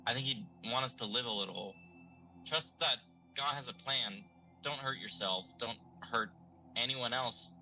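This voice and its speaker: Actor as bright-voiced laid-back young stoner guy. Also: mid-range voice, unhurried, faint music behind, rough recording. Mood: earnest. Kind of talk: audio drama acting.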